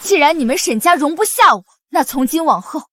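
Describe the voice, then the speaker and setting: An actress playing a loyal young wife from a poor family, speaking earnestly and pleadingly. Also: female voice, high voice, animated, brisk, fairly bright tone, no room sound, clean studio sound. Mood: resolute. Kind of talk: short-drama acting.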